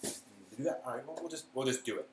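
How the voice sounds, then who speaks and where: A man's voice: medium pitch, expressive, a little fast. Man talking casually in an echoey room. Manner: thinking aloud, hesitant, trailing off mid-task. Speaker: live stream host. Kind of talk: casual unscripted cooking demonstration. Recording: roomy echo, background noise.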